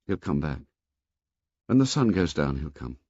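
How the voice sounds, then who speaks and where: A man voicing a frustrated boy chief who shouts at the group, then goes quiet and despairing. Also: fairly low pitch, very animated, unhurried, slightly rough, dry recording, muffled recording, full character voice.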